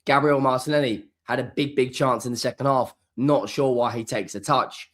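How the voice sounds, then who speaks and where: A hoarse man hosts a solo football reaction, talking emphatically and often repeating key words for stress. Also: medium pitch, steady tone, normal speed, fairly bright tone. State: hoarse, coming down with flu. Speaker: football channel host. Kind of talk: solo post-match reaction.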